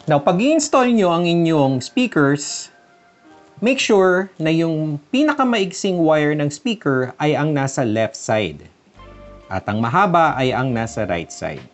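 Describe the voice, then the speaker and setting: A woman's voice: sits low, big pitch swings, takes it slowly, faint music behind. A Filipino woman vlogger narrating a casual step-by-step tutorial. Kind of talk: tutorial narration mixing casual and instructional talk.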